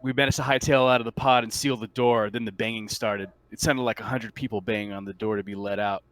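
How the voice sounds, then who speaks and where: A man playing a shaken marine soldier in a tabletop roleplay, giving a halting, stammering account of a disastrous mission. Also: fairly low pitch, steady tone, fairly bright tone, dry recording, natural voice, poor audio. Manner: halting, stammering, incredulous testimony. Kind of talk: improvised tabletop roleplay monologue.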